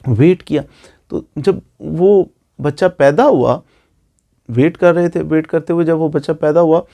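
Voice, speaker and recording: A man's voice: fairly high pitch, unhurried, vocal fry, dark tone. A man with a Pakistani accent giving an informal legal explainer as a lawyer, repeating phrases for emphasis. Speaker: lawyer explaining law online.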